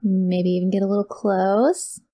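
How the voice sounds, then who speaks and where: A woman's voice: medium pitch, fairly even intonation, unhurried, warm tone, dry recording, studio quality, close to an everyday voice. A woman playing a sweet, awkward, clingy young yandere kidnapper in an ASMR audio roleplay, rambling and gushing straight to the listener. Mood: nervous, coaxing.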